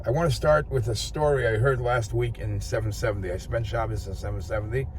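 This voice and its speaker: A man giving an informal Torah talk, telling a story with many halting restarts and fillers. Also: mid-range voice, fairly even intonation, darkish tone, a little hiss or hum. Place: outdoors in an early-morning street.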